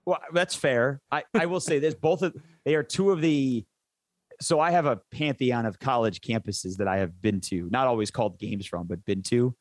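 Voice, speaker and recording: A man's voice: mid-range voice, moderate pace, no echo. A man who works as a sports play-by-play announcer, chatting casually and enthusiastically in an interview, rambling into detail.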